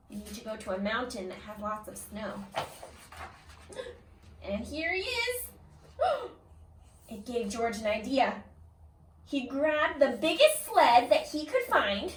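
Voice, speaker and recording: A woman reading a picture book aloud like a kindergarten teacher, expressively, pausing to put questions to young listeners. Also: high-pitched. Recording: roomy echo, distant from the mic.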